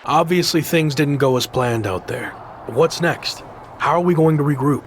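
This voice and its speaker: A self-assured young American champion acted by a man in a wrestling game. The voice is a little high and pushes its point insistently, with faint noise behind it. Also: moderate pace, natural voice. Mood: pleading, insistent. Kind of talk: scripted video game cutscene dialogue.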